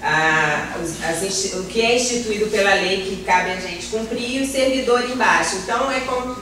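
A woman's voice: mid-range voice, normal speed. A middle-aged woman with a Brazilian accent gives an informal lecture to a class in a hesitant, self-deprecating way, repeating words as she searches for the next one. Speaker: guest lecturer, environmental civil servant. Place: classroom with projector, indoors. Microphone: far camera. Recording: roomy, noisy classroom recorded from far off.

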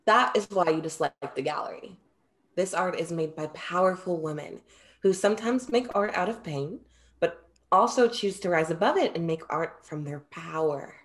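A young woman playing a composed, perceptive muse in a staged play reading, with measured, formal diction. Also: on the low side, expressive, close to an everyday voice. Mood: firm, measured. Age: young adult. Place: small room at home, video call. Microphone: video call.

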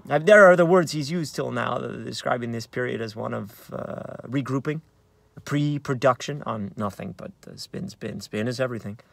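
A man in his thirties with an American accent, an actor reading a play as conversational, halting narration with uneven emphasis, recorded inside a car. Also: mid-range voice, vocal fry, fairly bright tone, no room sound. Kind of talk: dramatic narration of a play read on book. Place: inside a parked car in daylight.

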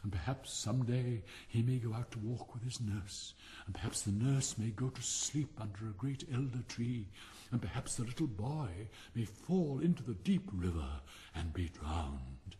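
A man's voice: mid-range voice, lively intonation, normal speed, warm tone, a little hiss or hum, close to an everyday voice. Man playing a haughty, melodramatic English rocket. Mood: mournful. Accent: English Received Pronunciation. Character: pompous, self-pitying, supercilious male firework rocket. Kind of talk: theatrical storybook character reading.

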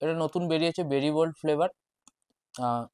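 A man in his twenties with a West Bengal Bengali accent, chatting casually to camera in short, halting phrases as a fitness vlogger. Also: on the high side, a little mellow, clear, resonant tone, no echo. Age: 20s. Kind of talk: casual vlog chat to camera. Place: small bedroom at home, indoors. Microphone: wireless lapel mic.

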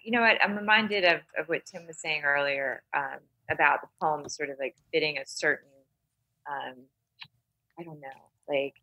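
A woman's voice: sits low, moderate pace, sharp tone, dry recording, rough recording. A woman talking casually about literature as a podcast panelist, thoughtful and often checking in with "right?".

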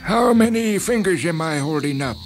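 A man playing a polite, uneasy hospital doctor with a mild, halting manner in a dramatised story. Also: sits high, expressive, faint music behind. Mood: calm. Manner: halting, mild, full of hesitations.

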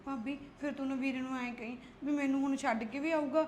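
A woman's voice: high voice, steady tone, quick pace, a little mellow, slight background noise, lightly acted. An actress playing a frightened young woman who pleads and protests through tears. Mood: tearful pleading. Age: young adult.

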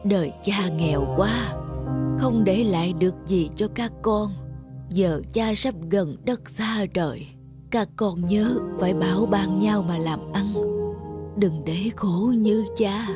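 A man voicing a frail, dying old peasant father in an animated folk tale, weak and halting, regretful and loving. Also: high-pitched, over loud music. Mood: weary, regretful.

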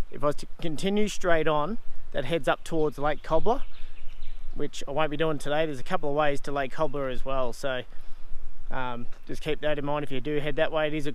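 A man's voice: fairly high pitch, smooth, poor audio. A man, casually narrating a solo four-wheel-drive trip outdoors, talking to a camera held at arm's length. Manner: relaxed, chatty, lots of "um" and "yeah". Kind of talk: casual on-the-road narration and trail guidance. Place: outdoors in bush tracks, beside and inside his vehicle.

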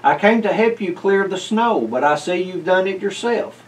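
A friendly young male neighbor in a storybook, voiced by a man reading. The pitch is lifted and the tone cheerful and helpful, heard from slightly far off. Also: lively intonation, moderate pace. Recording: picked up from a distance.

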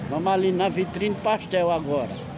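A man's voice: sits high, moderate pace. A man with a Northeastern Brazilian accent giving casual, informal commentary while walking through an outdoor street market with a handheld phone. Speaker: vlogger. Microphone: handheld phone behind the camera. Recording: loud crowd and street noise.

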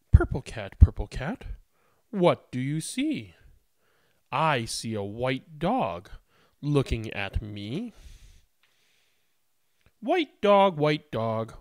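A man in his thirties, host of a children's read-aloud, speaking in an expressive, sing-song way. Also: a little high, no room sound, clean studio sound. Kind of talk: picture-book read-aloud with casual introduction. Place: indoors, small room at home.

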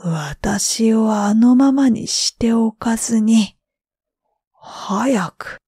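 女性が演じるわがままな老いた星神、ゆっくり命じる口調. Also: female voice, a little high, animated, very slow, warm tone, breathy edge, dry recording, studio quality, exaggerated voice. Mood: solemn, commanding. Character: willful old male star god from the sky. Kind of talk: bedtime storybook reading.

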